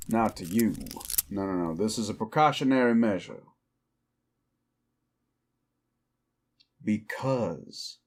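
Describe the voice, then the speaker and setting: Guy acting a cowboy outlaw werewolf, real slow talker, brooding and goading. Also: lowish voice, darkish tone, heavily acted. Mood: wary, urgent. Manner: slow, deliberate, goading, rhetorical questions. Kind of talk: intimate roleplay audio drama acting.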